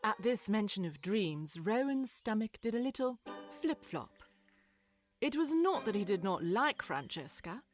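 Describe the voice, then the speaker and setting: Casting: female storybook narrator, medium pitch, measured literary read, light music bed. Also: very animated.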